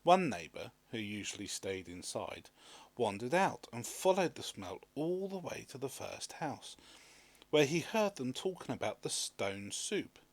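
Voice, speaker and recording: A man narrates a storybook in a mid-pitched voice with very lively intonation. The delivery is expressive and scripted, and the recording is close and dry. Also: a little slow, no room sound. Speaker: storybook reader.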